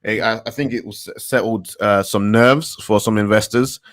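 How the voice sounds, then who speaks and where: A man's voice: on the low side, steady tone, no echo. Male co-host, casual investor chat, stammering restarts, dry close sound. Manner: halting, repeats words, many fillers. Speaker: livestream host.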